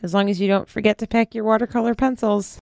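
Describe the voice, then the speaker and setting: A woman's voice: mid-range voice, steady tone, quick pace, vocal fry, darkish tone, close to an everyday voice. A woman acting a supportive, kindly young woman in a modern audio drama. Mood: gentle, encouraging.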